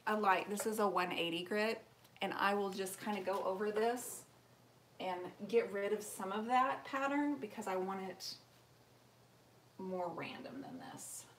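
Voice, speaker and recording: A woman's voice: medium pitch, measured pace, slight background noise. A woman in her forties hosting a casual live painting stream from her home workshop, chatting and answering viewer comments as she works. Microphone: camera mic, moves toward and away from it.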